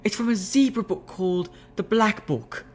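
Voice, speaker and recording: A woman's voice: mid-range voice, lively intonation, normal speed, a little mellow, slight background noise, pitched above the performer's own voice, lightly acted. A woman voicing a graceful, refined unicorn mare who speaks in a prim, ladylike way. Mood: confiding. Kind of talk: audiobook reading of fan fiction.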